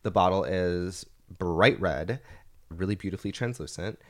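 Deep-voiced congested man chatting. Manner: chatty, gossipy, quick asides with bursts of laughter. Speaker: podcast co-host. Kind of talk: casual chat.

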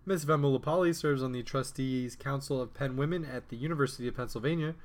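Brisk male podcast announcer. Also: mid-range voice. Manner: brisk, polished read. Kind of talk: scripted podcast intro.

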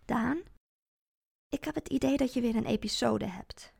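A woman reading a calm, patient ex-husband. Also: on the high side, vocal fry, slightly rough, exaggerated voice. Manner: measured, deliberately calm.

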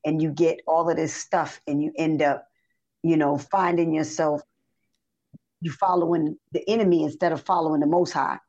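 A middle-aged woman with a somewhat low, slightly hoarse voice tells her story in an animated, rambling way. The recording sounds dull and lo-fi. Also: normal speed. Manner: chatty, animated storytelling with restarts and fillers. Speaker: singer guest. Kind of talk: casual interview chat. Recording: dull lo-fi audio.

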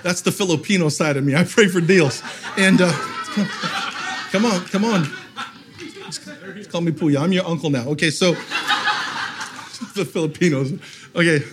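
A man's voice: a little high, measured pace, pauses often, background noise. A middle-aged man, a guest pastor who is losing his voice, preaching a sermon to a live audience in a church, telling funny stories and laughing often. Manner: humorous storytelling, laughing often.

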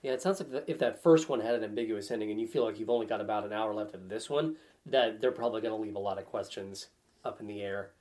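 A man's voice: fairly low pitch, fast, darkish tone. A man chatting casually on a gaming podcast as a panelist, with a wry, joking way of commenting. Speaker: podcast panelist discussing video games. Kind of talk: casual chat.

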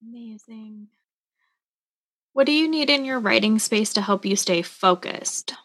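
A woman's voice: a little high, a little slow, fairly bright tone. A woman interviewer putting short, prepared questions to a guest.

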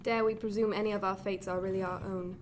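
A woman playing a middle-aged, cold and imperious 18th-century gentlewoman in a radio drama, speaking formally and bitingly. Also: mid-range voice, little pitch movement, fast talker, close to an everyday voice. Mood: defiant, icy. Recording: clear background noise, ship ambience.

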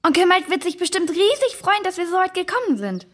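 A woman acting a caring young girl in a German children's radio play, lively and expressive. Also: very high-pitched, a little fast, exaggerated voice. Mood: cheerful, caring. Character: bright, caring young girl worried about her uncle.

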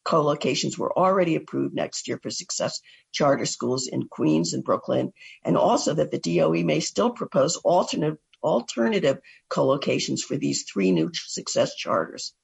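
A woman hosting a radio talk show and reading an education news recap, hoarse from a cold. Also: low-pitched, animated, normal speed. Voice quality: gravelly and husky.

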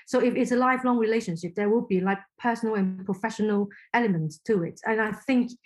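A woman from Hong Kong whose first language is Chinese, answering interview questions thoughtfully with frequent restarts. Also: mid-range voice. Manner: thoughtful, halting, many restarts. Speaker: podcast guest.

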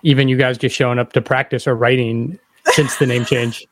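A man's voice: mid-range voice, level tone, fairly quick. A male podcast host with a mid-range voice asks questions in a casual, conversational way. The sound is dry, with very little room echo.

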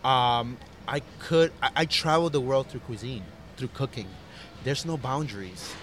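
A man's voice: mid-range voice, normal speed, a bit bright, slight background noise. A man who grew up in Costa Rica, a chef talking earnestly and reflectively in a casual interview, with fillers and restarts.

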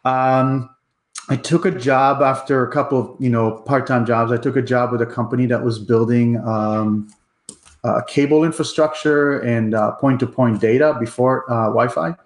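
A middle-aged man with an Israeli accent, speaking at an ordinary pitch for a man. He tells his story as a guest in a relaxed, halting way, filling pauses with ums and uhs. His voice has a roomy echo around it. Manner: relaxed, many ums and uhs, pace varies. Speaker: guest, company president. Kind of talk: casual interview.

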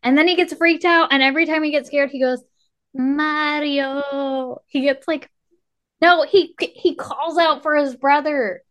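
A woman's voice: high voice, steady tone, unhurried, fairly bright tone. A woman co-hosting a podcast with playful, joking, casual banter. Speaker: podcast co-host.

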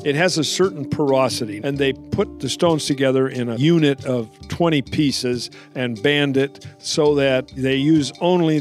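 A company founder explains his milling process in a radio ad, plain and folksy. Soft guitar music plays underneath. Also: mid-range voice. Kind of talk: ad interview.

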